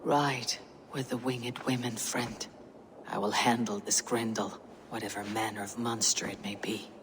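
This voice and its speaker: A woman's voice: very deep voice, fairly even intonation, a little slow, noisy background. A woman playing a stern, stoic female Viking warrior in a video game. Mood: grave, resolute. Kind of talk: dramatic video game acting.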